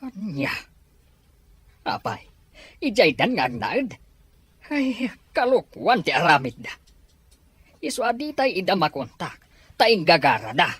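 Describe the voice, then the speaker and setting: A woman playing an aging, fretful Ilocano father in an audio drama. The voice sits low and husky, swings expressively, and has an Ilocano accent. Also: sing-song, a little slow, pauses often, dry recording, exaggerated voice. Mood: fretful, dismayed. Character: fretful older father of grown children overseas.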